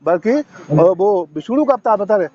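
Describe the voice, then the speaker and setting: A man's voice: sits high, animated, moderate pace, mellow, highs cut off. A man giving impassioned, assertive interview answers as a protester, stressing points by repeating phrases.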